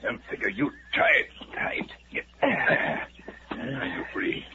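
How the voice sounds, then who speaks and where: An actor playing a terse male scout who speaks in slow, clipped, broken phrases, in a 1950s radio drama. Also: mid-range voice, wildly swooping pitch, vocal fry, heavily acted. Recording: muffled scratchy 1950s radio recording with loud hiss.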